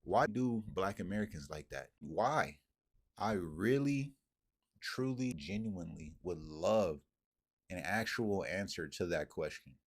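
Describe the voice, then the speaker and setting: Husky-voiced man ranting emphatically. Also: low voice, expressive, measured pace. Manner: emphatic, profane, rhetorical questions. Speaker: commentator. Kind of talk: heated monologue to camera.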